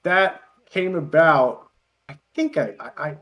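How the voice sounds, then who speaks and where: Higher-pitched man telling casual anecdotes. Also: slow pace. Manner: animated storyteller who acts out quoted dialogue. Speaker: interview guest, web parody creator.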